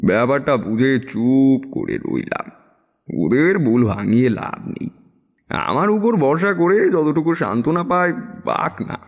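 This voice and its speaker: A male actor playing a seasoned tantric, narrating an eerie story in a measured, confiding way. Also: medium pitch, lively intonation, big-room sound. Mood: confiding, reflective. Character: seasoned male tantric recounting his eerie past adventures. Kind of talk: dramatic first-person horror storytelling.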